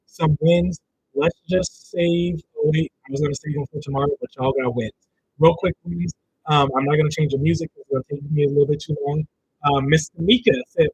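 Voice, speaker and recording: A man with a raspy voice from a cold, hosting a casual livestream about his notary business and talking straight to his community in slangy, animated chat. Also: fairly high pitch, a little hiss or hum, poor audio.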